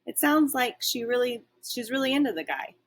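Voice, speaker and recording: A woman's voice: fairly high pitch, animated, moderate pace, pitched above the performer's own voice. Woman voicing a kindly, lilting female dog. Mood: encouraging. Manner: gentle, friendly.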